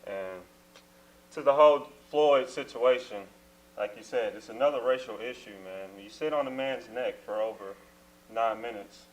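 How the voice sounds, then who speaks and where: A young man from Minnesota, co-hosting a casual church talk show, soft-spoken and trailing off quietly. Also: mid-range voice, normal speed, rough recording. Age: young adult. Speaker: co-host.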